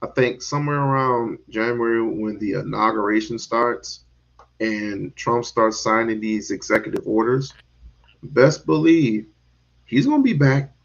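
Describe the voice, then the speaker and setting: A man's voice: medium pitch, measured pace, vocal fry, dull audio. A man hosting a casual online talk show, talking profanely and laughing often. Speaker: show host.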